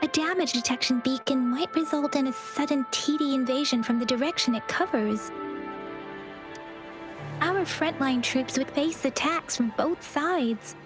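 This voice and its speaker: Stern suspicious soldier, high clipped voice, music under. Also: female voice, unhurried. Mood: cool. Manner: clipped, cool, questioning. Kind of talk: video game voice acting.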